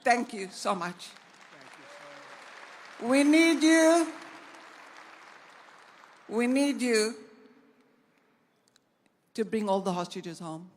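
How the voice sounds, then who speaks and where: A woman's voice: fairly high pitch, lively intonation, very slow, long pauses, a faint crowd. An older woman giving an emotional speech of thanks, pleading and repeating her words. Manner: pleading, repetitive. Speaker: hostage's wife giving a speech. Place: large banquet hall.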